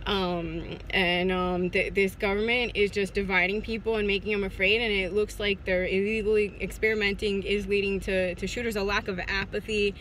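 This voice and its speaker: Bright-voiced woman vlogging from her car. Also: medium pitch, little pitch movement, normal speed, hardly any pauses, slight background noise, rough recording. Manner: hesitant, many fillers and restarts. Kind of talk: casual talk to camera. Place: parked car, indoors. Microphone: phone at arm's length.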